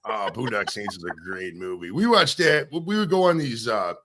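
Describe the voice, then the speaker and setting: A man's voice: on the low side, expressive, fairly quick, fairly bright tone, slight background noise. A man hosting a live podcast interview, talking loosely and profanely in a rambling, casual way. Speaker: podcast host.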